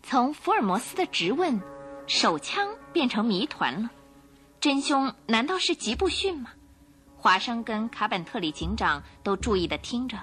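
A woman narrating a radio drama in an expressive, suspenseful storytelling manner. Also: on the high side, moderate pace, soft background music.

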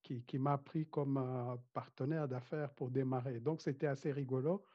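A man's voice: mid-range voice, fairly even intonation, moderate pace. A man from Rwanda who grew up speaking Kinyarwanda, talking casually as an interview guest, hesitant, with many restarts and frequent laughs. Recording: dull lo-fi sound.

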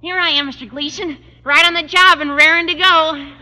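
A man playing an eager, slangy teenage schoolboy with a squeaky voice in an old radio sitcom. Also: fairly even intonation, moderate pace, very noisy. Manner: gee-whiz eagerness. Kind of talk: 1950s radio sitcom acting. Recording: dull old radio recording.

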